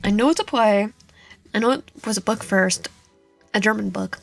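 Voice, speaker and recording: A woman's voice: medium pitch, moderate pace, gravelly. A woman gaming host reading a visual novel aloud with commentary, often stumbling over words. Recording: echoey room, faint music behind. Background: faint music.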